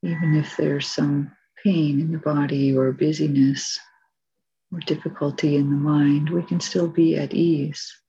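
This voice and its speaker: A woman teaching meditation, giving calm, gentle spoken guidance with long pauses between phrases. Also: deep voice, dull audio, a little hiss or hum. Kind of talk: guided meditation, soft spoken instruction.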